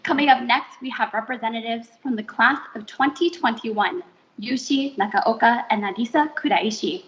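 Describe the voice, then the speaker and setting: A woman's voice: fairly high pitch, moderate pace, fairly bright tone, lo-fi sound. A woman hosting an online ceremony, announcing in a light, upbeat way. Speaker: ceremony host.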